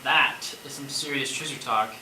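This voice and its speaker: A man's voice: mid-range voice, steady tone, moderate pace, pushed and bright. A man acting in a stage play as a wry, rambling man facing death in a zombie apocalypse. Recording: echoey stage from far off, noisy.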